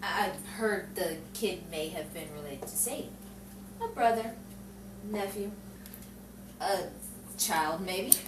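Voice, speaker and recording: A woman's voice: fairly low pitch, slow pace, long pauses. Woman acting as a wry noir girl reporter, echoey. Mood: probing. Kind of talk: noir radio-play narration and dialogue. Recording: echoey, distant, noisy room recording.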